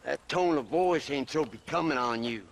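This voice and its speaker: An actor playing a folksy, grumbling old ranch hand with an American Western accent and a gravelly voice in a video game. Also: male voice, mid-range voice, normal speed, close to an everyday voice. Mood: wry grumbling. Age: older. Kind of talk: video game cutscene acting.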